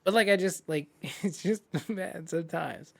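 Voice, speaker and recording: A man with a fairly high voice, co-hosting a casual podcast and talking in a slangy, easygoing way. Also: moderate pace, gravelly. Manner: casual, slangy, laughs often. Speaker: podcast co-host. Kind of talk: casual chat.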